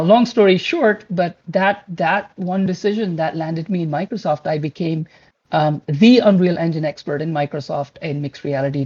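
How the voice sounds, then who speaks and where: A man's voice: fairly high pitch, darkish tone. A man in his forties whose first language is Pashto, speaking as a guest in a casual online talk. He is earnest and rambling, often restarting sentences and leaning on filler words. The call audio is muffled and lo-fi. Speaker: guest speaker, principal engineer.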